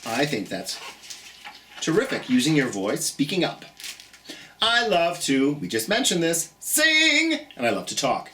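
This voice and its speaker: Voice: medium pitch, huge pitch swings, unhurried, pushed and bright, recorded from a distance, a little hiss or hum. A children's storytime host with a theatrical, singsong manner, speaking encouragingly and directly to young listeners.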